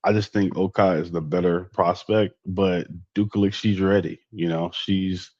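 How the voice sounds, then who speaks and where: A man co-hosting a podcast, chatting casually about basketball, animated and full of fillers. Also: sits low, moderate pace, no room sound. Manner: animated, incredulous, many fillers. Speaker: podcast co-host. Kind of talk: casual sports chat. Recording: dull lo-fi dry sound.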